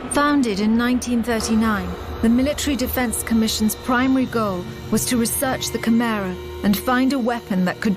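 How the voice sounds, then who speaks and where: A woman's voice: a little high, natural voice. A woman narrating a war game as a sober resistance officer recounting events in scripted style, over music. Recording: loud music behind. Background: loud music.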